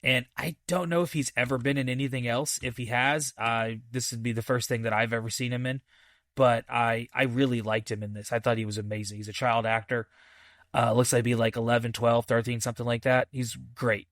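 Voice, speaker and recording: A man hosting a podcast, casually reviewing a film in a halting, thinking-aloud way with enthusiastic, repeated emphasis. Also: medium pitch, fairly even intonation, a bit bright, no room sound, studio quality. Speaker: movie review podcast host.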